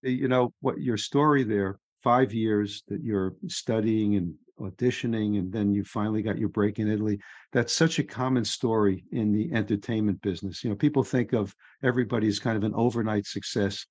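A man's voice: on the low side, fairly quick, a little mellow, breathy edge, no echo. A man hosting a podcast interview, talking in an easygoing, encouraging way. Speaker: podcast host. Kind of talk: casual interview chat.

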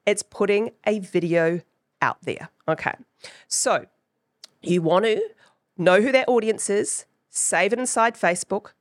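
A woman's voice: mid-range voice, dry recording, studio quality. A woman with a New Zealand accent hosting her own podcast, teaching in a chatty way and often checking in with "right?". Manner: chatty, keeps asking "right?". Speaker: podcast host.

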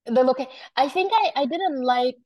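A woman's voice: high voice, moderate pace, dry recording, lo-fi sound. A woman originally from South Sudan, a guest telling stories in casual conversation, chatty with many fillers and restarts. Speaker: wine business founder, guest.

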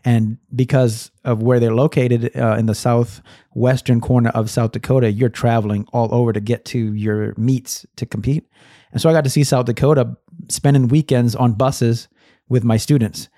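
A middle-aged man from Massachusetts, a guest who founded an education nonprofit, telling his story in relaxed conversation with many fillers and restarts. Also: medium pitch, moderate pace, no room sound, studio quality. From Massachusetts, USA.

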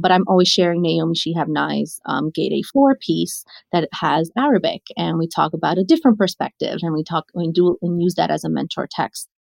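A woman educator from Chile speaks in a conversational, storytelling way, often checking in with her listener. Her voice sits slightly low and has a lot of creak. The recording is close and dry. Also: a little mellow, dry recording. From born in Chile, came to the United States when little. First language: Spanish. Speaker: educator and author. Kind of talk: conversational interview answer with anecdotes.

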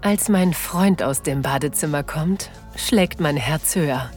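A woman playing an excited, sensual, playful married woman in an intimate audio drama, breathy and dropping into whispers. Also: sits low, a little slow, soft background music. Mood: tender arousal. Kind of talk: intimate first-person German audio drama narration.

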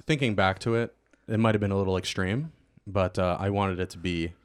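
A man's voice: low voice, fairly quick, clear, resonant tone. A man chatting casually as a podcast cast member, telling stories in a jokey, self-amused way. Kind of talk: casual joking chat.

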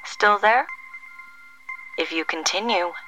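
Enigmatic hotline recording for audio drama. Slow, sharp-toned, commanding, cool, over music. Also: female voice, medium pitch, rough recording. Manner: measured, cryptic, commanding. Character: cool, cryptic female answering-machine voice of secret agency. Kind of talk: audio drama acting, recorded phone greeting.

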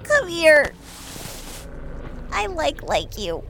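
A woman's voice: high voice, huge pitch swings, extremely slow, vocal fry, bright, forceful tone, a little hiss or hum, heavily acted, low-quality recording. A woman playing a small furry animal mother in an audio drama, earnest and childlike, tender and easily frightened.

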